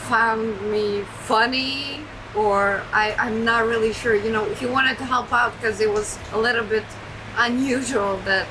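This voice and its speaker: Chatty woman from Serbia, noisy background. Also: medium pitch. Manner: chatty, self-deprecating, many fillers and restarts. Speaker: guest, trucking entrepreneur. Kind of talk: casual interview storytelling. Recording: loud background noise.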